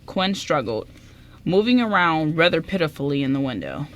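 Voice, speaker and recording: A woman's voice: low-pitched, big pitch swings, normal speed, a bit bright. A woman reading scripted story narration as the narrator of a radio drama.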